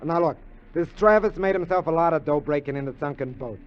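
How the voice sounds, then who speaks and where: An actor playing an eager, scheming American burglar sidekick in a 1950s radio drama, talkative, slangy and wheedling. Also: male voice, a little high, fairly quick, slight background noise, close to an everyday voice. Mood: excited, persuasive. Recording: dull vintage radio recording.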